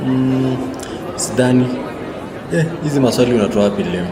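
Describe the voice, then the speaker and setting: A man's voice: mid-range voice, normal speed, lightly acted. A man acting as a casual, inquisitive student friend in a radio drama. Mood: casual, questioning. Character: casual, curious male student friend Jerry. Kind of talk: radio drama dialogue, casual slangy chat. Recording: echoey space with loud crowd and noise.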